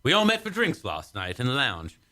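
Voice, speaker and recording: A man's voice: mid-range voice, wildly swooping pitch, a little fast, bright, forceful tone. A man playing a good-natured, supportive ship's doctor in a science fiction radio play. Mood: easygoing.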